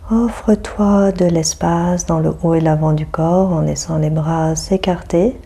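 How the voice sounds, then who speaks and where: A woman guiding a yoga nidra meditation in a soothing, hushed manner, leaving long pauses between phrases. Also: fairly low pitch, fairly even intonation, slightly rough. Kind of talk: guided meditation.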